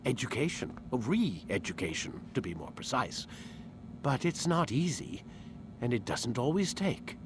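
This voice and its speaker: An actor playing a smug, cryptic intellectual schemer in a video game, lecturing deliberately. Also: male voice, very animated. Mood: lecturing, assured. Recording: clearly noisy, rumbling ambience. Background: ambient noise.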